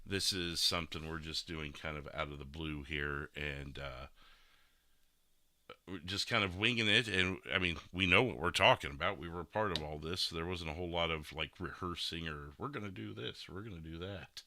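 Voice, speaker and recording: A man's voice: low-pitched, normal speed, sharp tone, no room sound. A man hosting a casual podcast in a rambling, self-deprecating and joking manner. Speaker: podcast host and game streamer.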